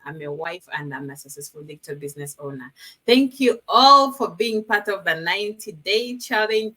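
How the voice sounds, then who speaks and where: A woman from Uganda joins a live online panel as a guest. Her voice is bright and forward, and she talks in an effusive way, laughing easily. It comes through a video call. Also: medium pitch.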